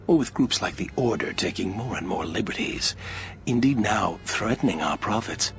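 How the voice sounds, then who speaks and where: An actor playing a smooth-talking, calculating middle-aged official in a video game, speaking in a measured, formal way. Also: male voice, fairly low pitch, lively intonation. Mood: condescending, composed. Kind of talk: video game cutscene acting.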